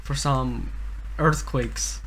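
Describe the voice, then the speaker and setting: A man's voice: mid-range voice, steady tone, extremely slow, fairly bright tone, a little hiss or hum. A man co-hosting a podcast, chatting casually and teasing his friends with jokes. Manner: joking, teasing. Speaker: podcast co-host.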